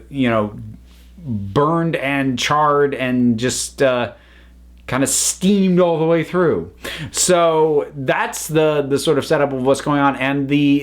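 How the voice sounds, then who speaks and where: A man giving a casual, unscripted review in a chatty, enthusiastic way, often wandering into side remarks. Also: medium pitch. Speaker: online reviewer.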